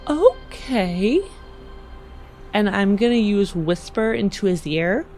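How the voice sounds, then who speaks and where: A woman's voice: medium pitch, lively intonation, slow, darkish tone, faint music behind. A woman playing a tabletop roleplaying game, improvising lines in character.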